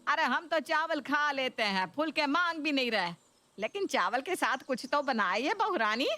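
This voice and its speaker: Woman playing a sharp-voiced nagging Indian mother-in-law. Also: high-pitched, animated, normal speed, dry recording. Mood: sulky, taunting. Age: older. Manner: complaining, taunting. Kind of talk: Hindi cartoon story voice acting.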